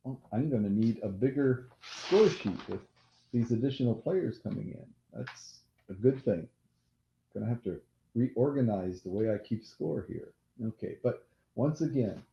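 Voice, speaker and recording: A man hosting a casual live-stream quiz game who has a loud voice, repeats each question and apologizes during asides. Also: mid-range voice, expressive, a little slow, creaky. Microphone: laptop mic. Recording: echoey room, dull lo-fi laptop audio.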